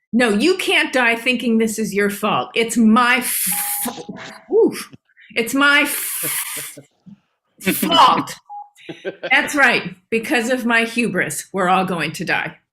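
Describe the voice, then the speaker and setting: A proud female cartoon animal, haughty and sure of herself. Her voice is bright and on the high side, with measured emphasis. There is some noise on the recording. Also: big pitch swings, full character voice. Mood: reluctant confession. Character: proud, know-it-all female animal named Honey, owning up to hubris. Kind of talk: animated comedy table read.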